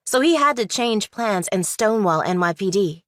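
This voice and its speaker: A woman's voice: mid-range voice, animated, normal speed, dry recording, clean studio sound, close to an everyday voice. Probing young law student played by a woman. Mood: analytical, challenging. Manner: direct, questioning, analytical. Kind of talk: audiobook character dialogue.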